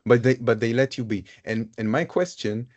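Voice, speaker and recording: A man's voice: mid-range voice, normal speed, darkish tone. A man moderating a live panel conversation, speaking in a thoughtful, searching way and often repeating words as he builds his questions.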